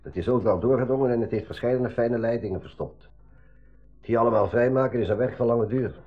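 Actor as fast-talking, worried Flemish mechanic. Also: male voice, mid-range voice, dull audio, soft background music, lightly acted. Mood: explanatory. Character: worried, practical mechanic on a desert expedition. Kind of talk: 1980s school radio drama acting.

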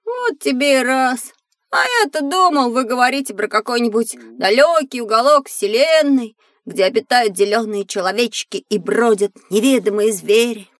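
Young boy played by a woman, high and dry-recorded, inquisitive, wide-eyed, for a children's educational audio play. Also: expressive, moderate pace, no room sound, natural voice. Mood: disappointed. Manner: exclamatory, wide-eyed, naive. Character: excitable, curious young boy on an observatory tour.

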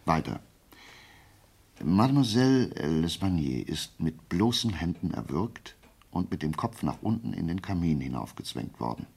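A self-assured, methodical sleuth in a radio play. His voice is very deep and husky, and it swings widely in pitch. He reasons aloud like a teacher, deliberate and theatrical, nudging with rhetorical questions. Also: big pitch swings, a little mellow, full character voice. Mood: analytical, emphatic. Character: brilliant, methodical male amateur detective, self-assured and theatrical. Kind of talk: German radio play acting.

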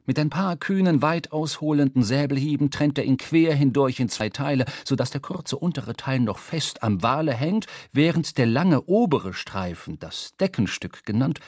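A man's voice: mid-range voice, expressive, normal speed, few pauses, darkish tone, no room sound, studio quality. A man narrating a literary radio drama with vivid, precise storytelling. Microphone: close studio microphone.

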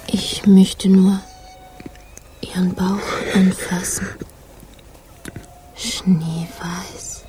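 A woman playing a tender, yearning seductress in a radio drama, murmuring in a breathy whisper. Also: medium pitch, little pitch movement, very slow, pauses often, warm tone, a little hiss or hum.

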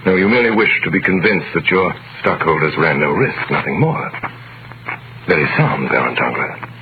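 An actor playing a wealthy, imperious count, suave and condescending, in the style of 1930s radio drama. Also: male voice, deep voice, lively intonation, normal speed, background noise. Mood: mocking. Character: wealthy, imperious male count, coolly mocking. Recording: muffled vintage radio recording.

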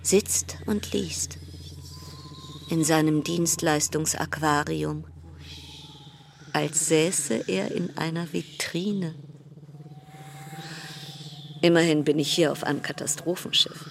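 An actress playing an anxious, restless female cruise passenger in a radio play monologue, brooding in clipped fragments that slide into breathy whispers. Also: sits low, fairly even intonation, slow pace, long pauses, slight background noise, exaggerated voice. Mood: hushed, uneasy.

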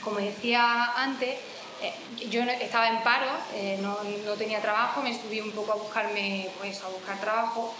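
A woman from Jaén with an Andalusian accent, giving an informal public talk in a halting way, with many restarts. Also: medium pitch, fairly even intonation. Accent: Andalusian Spanish. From Jaén, Spain. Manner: hesitant, many restarts and fillers. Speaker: speaker presenting a fanzine. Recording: echoey room with background noise.

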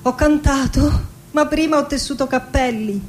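An actress playing a middle-aged Argentine woman poet in a theatrical radio monologue, reciting lyrically and deliberately with dramatic pauses, melancholy, wry and passionate. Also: high voice, a little mellow, slight background noise, close to an everyday voice. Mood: wistful, proud.

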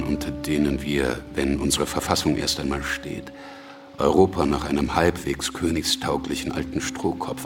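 An actor playing a domineering, scheming revolutionary politician in a radio drama, blunt and commanding. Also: male voice, very deep voice. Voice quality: gravelly.

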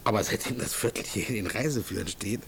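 An actor playing a laid-back handyman who lets flats, with an offhand, colloquial manner in casual radio play dialogue. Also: on the low side, fast, gravelly, slight background noise.

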